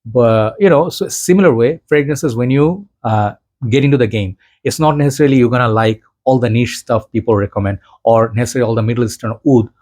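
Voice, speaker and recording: A man's voice: medium pitch, fairly quick, darkish tone. A man from Bangladesh with a Bangladeshi accent, talking as a fragrance reviewer in an informal, rambling vlog chat addressed to his viewers.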